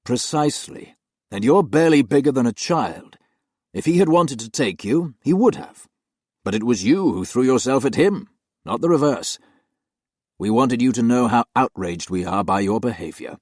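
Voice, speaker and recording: A man voicing a stern gentleman employer who speaks harshly and curtly. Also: medium pitch, expressive, normal speed, no echo, clean studio sound, voice pushed up, lightly acted. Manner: harsh, curt, adamant.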